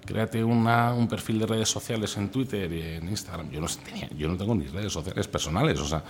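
Male interview guest, Spain accent, very low voice. Candid, chatty, colloquial delivery over light noise. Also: a little fast. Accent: Spanish from Spain. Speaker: interview guest, food recommendation influencer. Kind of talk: casual interview chat, anecdotes.

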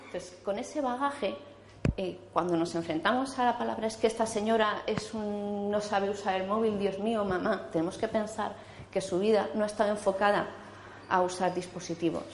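A woman's voice: mid-range voice, steady tone, moderate pace, slight background noise. Woman, 40s, Spanish accent, chatty speaker, hall echo. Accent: Spanish from Zaragoza. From Spain. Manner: chatty, many fillers and restarts. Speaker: conference speaker. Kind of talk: casual conference talk. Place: conference hall.

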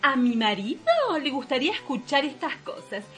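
An Argentine woman playing a snooty, melodramatic high-society madame in a comic radio play, speaking in an affected way. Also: normal speed, fairly bright tone, soft background music. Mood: disdainful.

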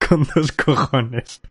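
A man's voice: mid-range voice, a bit bright, breathy edge. A man hosting a casual podcast, telling a drunken travel anecdote with frequent laughs and swearing. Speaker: podcast host. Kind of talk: casual chat, storytelling.

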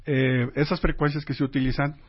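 A man's voice: a little high, flat delivery, fairly quick, highs cut off, a crowd in the background. A man, an air traffic controller, patiently explaining his work step by step as a radio guest. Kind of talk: explaining in an interview.

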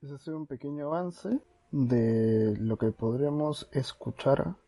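A man co-hosting a podcast in a casual, halting way, with frequent false starts. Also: medium pitch, dark tone, slight background noise. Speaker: podcast co-host.

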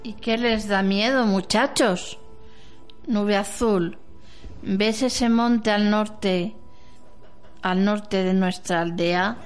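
Lady doing a wise old granny elder in a radio play, real slow, smooth clear voice. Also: medium pitch, soft background music. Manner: slow, measured, instructing. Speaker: amateur radio drama actor. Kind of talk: amateur radio play acting.

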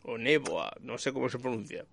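A man's voice: medium pitch, animated, a little fast, gravelly, fairly bright tone, no echo. A man giving a casual, rambling review as a conversational host. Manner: rambling, searching for details. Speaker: series reviewer and podcast host.

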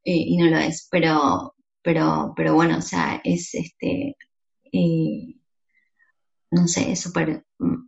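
A woman's voice: deep voice, creaky, highs cut off. A woman, a French teacher, speaking hesitantly with many fillers in a group discussion.

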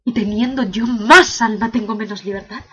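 A woman's voice: a little high, moderate pace, sharp tone, husky, muffled recording. A woman playing an anguished imprisoned prince in a declamatory, impassioned classical verse monologue, bitterly questioning heaven. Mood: wounded, yearning.

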